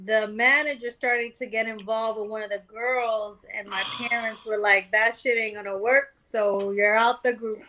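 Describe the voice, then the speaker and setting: A woman's voice: fairly high pitch, fairly even intonation, normal speed, hardly any pauses, bright, forceful tone, rough recording. A middle-aged woman guest from New York on a casual podcast, telling her story candidly and swearing freely. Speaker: guest author.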